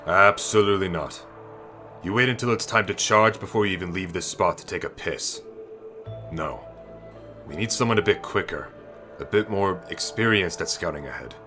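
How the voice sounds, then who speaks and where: Man acting a very deep, stern knight captain. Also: normal speed, pushed and bright. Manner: authoritative, clipped orders. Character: stern, commanding male knight captain, gruff but fatherly. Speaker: military commander. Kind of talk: fantasy audio roleplay acting. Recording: orchestral game music behind.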